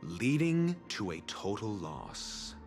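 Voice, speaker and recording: An actor playing a condescending, coolly superior scholar in a video game, explaining things in a deliberate, haughty way. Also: male voice, fairly low pitch, big pitch swings, heavily acted. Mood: smug exposition. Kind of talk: dramatic video game voice acting. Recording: long hall reverb, music behind.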